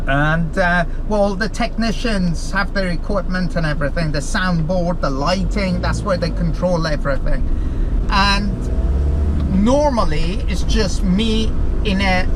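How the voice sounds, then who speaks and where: A vlogger talking casually and off the cuff, chatty and enthusiastic, while travelling in a vehicle. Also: mid-range voice. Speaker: vlogger and videographer. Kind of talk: casual spontaneous vlog talk. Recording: loud vehicle and road noise.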